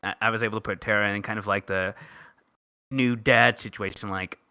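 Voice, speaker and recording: A man chatting casually as a podcast panelist, earnest and halting. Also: fairly low pitch, steady tone, vocal fry, sharp tone, dry recording. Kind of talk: casual group chat about a game fandub.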